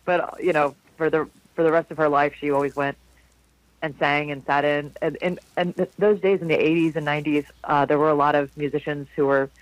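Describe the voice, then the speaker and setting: Husky-voiced man telling stories. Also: steady tone, brisk, no room sound, poor audio. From South Florida, USA. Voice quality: low, slightly husky voice. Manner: rambling, warm anecdotes with frequent restarts and laughs. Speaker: jazz singer, guest. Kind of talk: casual interview storytelling.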